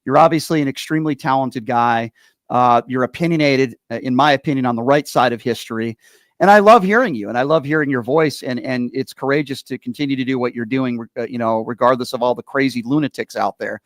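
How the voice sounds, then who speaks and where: A man's voice: mid-range voice, a little fast, no room sound. A man from Connecticut hosting an interview, effusive and affirming toward his guest. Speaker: podcast host. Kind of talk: interview conversation.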